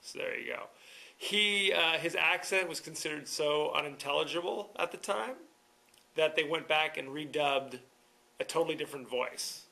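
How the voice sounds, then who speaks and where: Dude hosting a movie podcast solo, bit high voice, crisp and punchy, wry and rambly. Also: male voice, moderate pace, pushed and bright. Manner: wry, rambling, chuckling asides. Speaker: podcast host. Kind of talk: casual solo movie review.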